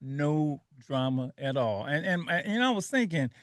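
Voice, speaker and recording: High-voiced Liberian-born radio host. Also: male voice, moderate pace, dry recording, studio quality. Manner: animated, laughing storyteller. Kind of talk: casual radio chat.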